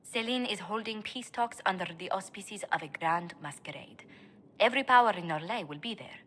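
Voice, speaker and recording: A careful, formal diplomat who advises with measured calm. Her voice sits in a middle range. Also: mid-range voice. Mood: grave. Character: poised female court diplomat, formal and careful. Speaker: diplomat advisor in a fantasy game. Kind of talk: video game dialogue acting.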